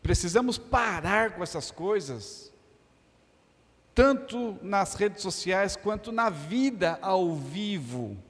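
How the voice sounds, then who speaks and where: A man's voice: high-pitched, lively intonation, takes it slowly. A man from Brazil preaching a sermon in a church, joking one moment and stressing lists of words the next. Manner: humorous, storytelling, emphatic lists. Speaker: pastor. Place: church hall. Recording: church hall reverb.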